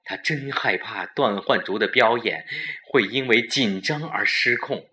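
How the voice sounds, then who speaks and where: Male narrator for a thriller audio drama. Fairly low, bright forceful tone, dramatic delivery. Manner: dramatic, deliberate storytelling.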